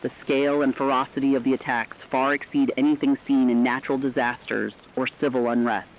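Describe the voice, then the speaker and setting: A man playing a grave radio news reporter, delivering a formal, measured emergency bulletin in a scripted drama. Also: mid-range voice, flat delivery, slight background noise, lightly acted, low-quality recording. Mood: grave, urgent.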